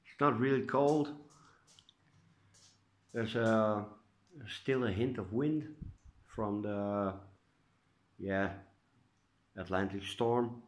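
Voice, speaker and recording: Slow, low-voiced Dutch man vlogging. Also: fairly even intonation. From Netherlands. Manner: hesitant, lots of uh and restarts. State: congested, stuffy nose. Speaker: orchid grower vlogger. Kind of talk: casual home video update. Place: indoors at home.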